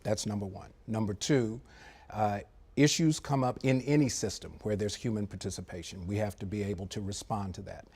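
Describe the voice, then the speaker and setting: A man, a former air traffic controller, giving a measured, analytical explanation as a guest in a TV studio, with frequent fillers. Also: on the low side, fairly even intonation, a little mellow, breathy edge. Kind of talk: live TV interview.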